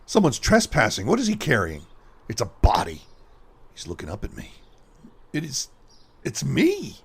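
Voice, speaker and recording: A man playing a haunted, guilty con man in a horror radio drama, muttering dramatically to himself in a gravelly, husky voice. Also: mid-range voice, wildly swooping pitch, normal speed, exaggerated voice. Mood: alarmed disbelief.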